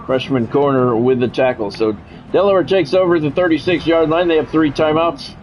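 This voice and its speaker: A man's voice: fairly high pitch, a little fast, darkish tone. A man doing live play-by-play commentary over a football video game, in terse announcer phrases with candid asides. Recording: dull, lo-fi mic with faint background noise.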